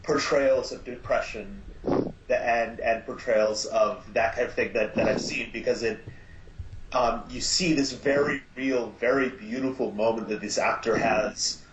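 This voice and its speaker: A man chatting as a podcast guest, talking haltingly with many fillers and restarts. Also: mid-range voice, fairly even intonation. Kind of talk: casual podcast chat. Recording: roomy, dull lo-fi sound with faint noise.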